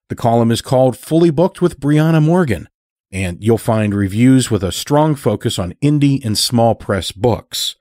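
A man hosting and narrating a horror fiction podcast, reading from a script in a measured, deliberate way. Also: on the low side, a little mellow, no echo, studio quality. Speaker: podcast host and story narrator.

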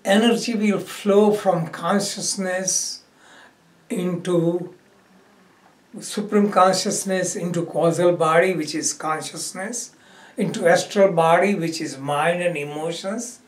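A man leading a guided meditation as a yoga teacher, giving instruction in short phrases with pauses. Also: high-pitched, fairly even intonation. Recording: roomy echo, distant microphone.

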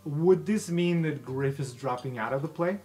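A man's voice: on the high side, moderate pace, dark tone. A man working as game master, narrating an improvised tabletop adventure in a casual, conversational style.

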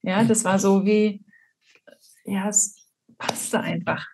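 A woman's voice: mid-range voice, fairly even intonation, slow, gravelly, darkish tone, a little hiss or hum, low-quality recording. A woman in her forties from eastern Germany, answering conversationally as a guest author on a video call. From Potsdam, Germany. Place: room indoors, on a video call.

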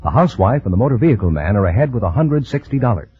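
A man's voice: on the low side, big pitch swings, rapid-fire, slightly rough. A man announcing and reading scripted sponsor copy in 1940s radio style. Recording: dull, lo-fi 1940s radio recording.

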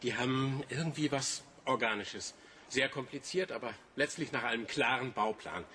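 A man acts an affable boat enthusiast in a radio play, musing with pride in a mid-pitched voice. Clicks and clear background noise surround him. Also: moderate pace, bright, forceful tone, natural voice. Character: friendly male boat enthusiast, proud and reflective. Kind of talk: radio drama dialogue.

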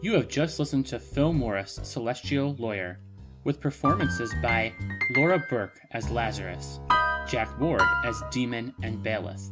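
A man reading scripted end credits as an announcer. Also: mid-range voice. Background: loud theme music.

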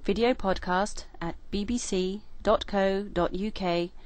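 A woman reading a scripted announcement in a brisk, neutral way. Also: medium pitch, steady tone, darkish tone, no echo.

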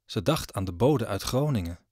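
A man's voice: fairly low pitch, lively intonation, normal speed, darkish tone, dry recording, clean studio sound. A man narrating a scripted story as the narrator of an audio drama.

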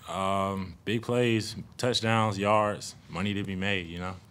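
A young man, a college football player, answering questions at a postgame press conference in a relaxed, joking way, with lots of "you know". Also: deep voice, takes it slowly. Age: young adult. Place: press room in front of a logo backdrop. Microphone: table mic on a stand.

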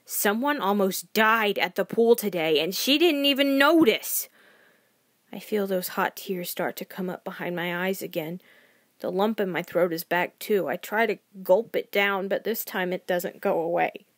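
A woman's voice: mid-range voice, measured pace, no room sound, clean studio sound, natural voice. Woman voicing an earnest, anxious young child narrator. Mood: earnest, determined. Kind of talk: first-person short story reading.

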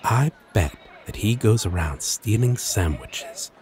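A man playing a lovesick, teasing demon in an intimate ASMR audio roleplay, halting and self-deprecating, with long pauses. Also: lowish voice, lively intonation, a little mellow, soft background music, a faint crowd. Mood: playful deflecting.

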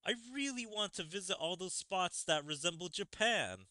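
Guy doing a tired nervous young hero in a fantasy game, pitched up, bright voice. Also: animated, moderate pace, clear, resonant tone, dry recording, studio quality. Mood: weary. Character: weary, anxious young male hero. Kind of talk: reading game dialogue aloud.

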